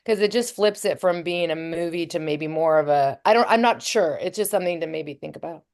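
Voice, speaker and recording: Casting: female workshop participant, somewhat low voice, thoughtful, hedging delivery. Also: fairly quick, a little mellow. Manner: tentative, thoughtful. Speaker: participant giving script feedback. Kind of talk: casual feedback. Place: online video call.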